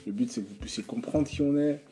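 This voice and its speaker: Dark, halting French-speaking man. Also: medium pitch, faint music behind. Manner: halting, many fillers and restarts. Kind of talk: hesitant casual presentation.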